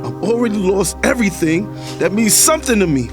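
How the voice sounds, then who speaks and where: Guy acting troubled young man locked up, regretful street talk, pitch moves a lot, music loud behind, audio drama. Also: big pitch swings, fairly quick. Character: troubled jailed young man breaking off a romance.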